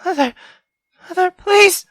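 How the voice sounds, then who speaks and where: Frightened, high-pitched young girl, stammering. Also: unhurried, a bit bright, a little hiss or hum. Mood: terrified. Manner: stammering, pleading. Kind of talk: dramatic visual novel reading.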